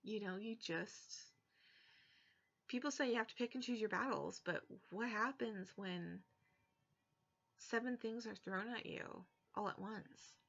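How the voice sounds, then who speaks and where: Young woman venting on a webcam, slow talker, bright voice, kinda muffled lo-fi sound. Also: mid-range voice, vocal fry. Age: young adult. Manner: frank, exasperated, blunt asides. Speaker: vlogger. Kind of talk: candid personal vlog. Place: bedroom, indoors. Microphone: webcam at desk. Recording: dull lo-fi webcam audio.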